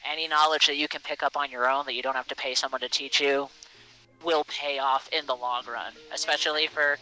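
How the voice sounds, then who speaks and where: A man's voice: medium pitch, fairly even intonation, a little fast, bright, forceful tone, faint music behind, low-quality recording. A young man speaking casually and earnestly as an interview guest, an air traffic controller who encourages listeners and repeats words for emphasis. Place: small aircraft cockpit in flight.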